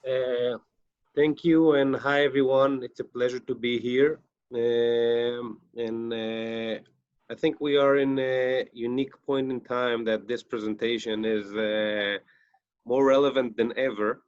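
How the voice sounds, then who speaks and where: A man with an Israeli accent presents over a video call, pausing often on fillers as he goes. His voice sits at a middle pitch. The sound is dry and a little dull, like a home call setup. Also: flat delivery, smooth, no echo. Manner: halting, many fillers. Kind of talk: conference presentation.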